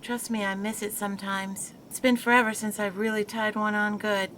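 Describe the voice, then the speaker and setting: A woman's voice: mid-range voice, level tone, normal speed, a bit bright, slight background noise, lightly acted. An actress playing a proper, married 1920s woman who defends herself and scolds her former lover. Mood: chatty. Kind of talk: 1920s period audio drama.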